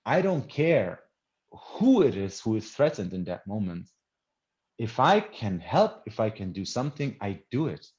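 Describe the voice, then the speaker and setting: A man born in Iran who is more German than Iranian, a non-native English speaker and film director, answering interview questions earnestly and emphatically, with halting restarts. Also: mid-range voice, a little mellow. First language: not English. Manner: earnest, emphatic, halting with repeated word starts. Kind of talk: festival Q&A conversation. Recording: dull, lo-fi audio.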